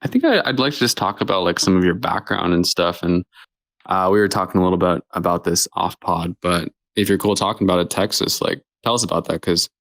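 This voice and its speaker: A man's voice: low-pitched, brisk, fairly bright tone, no echo. A man hosting a podcast, asking loose, rambling questions in casual chat. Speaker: podcast host.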